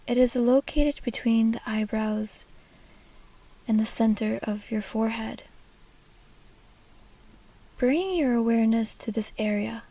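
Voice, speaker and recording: A woman leading a guided meditation in a soft, breathy, half-whispered and soothing delivery. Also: fairly high pitch, a little slow, pauses often, low-quality recording.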